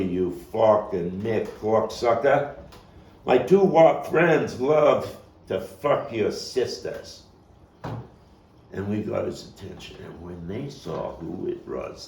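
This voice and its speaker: A man performing a dramatic stage monologue as a vengeful young gang member, profane and intense, halting and repeating his words. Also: lowish voice, expressive, gravelly, a little mellow, exaggerated voice. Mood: taunting, furious, then brooding, tense. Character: volatile, vengeful male street gang youth recounting a bloody fight. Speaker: actor and playwright performing his own monologue. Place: echoey room, table read. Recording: echoey, noisy room, distant mic.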